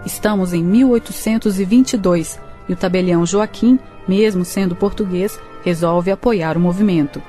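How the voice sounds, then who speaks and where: A woman's voice: on the low side, moderate pace, hardly any pauses, warm tone. A woman with a Brazilian accent reading scripted historical narration for a radio drama. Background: music.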